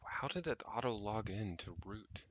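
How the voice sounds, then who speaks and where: A man's voice: lowish voice, expressive, normal speed, fairly bright tone, lo-fi sound, a little hiss or hum. A man chatting off the cuff on a livestream, rambling and thinking aloud with casual swearing as he tinkers. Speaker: streamer, tech hobbyist. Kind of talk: casual unscripted livestream chat while tinkering. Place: indoors, rented home.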